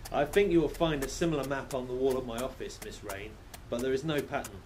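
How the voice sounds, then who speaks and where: A man playing a sceptical, polite police inspector in a steampunk radio play, with a measured manner. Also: mid-range voice, darkish tone, slight background noise, lightly acted.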